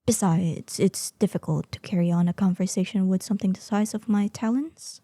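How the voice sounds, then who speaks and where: A woman's voice: mid-range voice, normal speed, dark tone, no room sound, studio quality, natural voice. A woman voicing a proud, vain ancient female dragon in human form for a roleplay audio drama, speaking in an imperious, formal, theatrical manner. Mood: amused, haughty.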